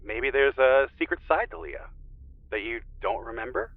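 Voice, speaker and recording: A man acting in an audio drama as an earnest spiritual friend, coaxing and deliberate, who guides meditation. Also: medium pitch, expressive, phone-quality sound, lightly acted.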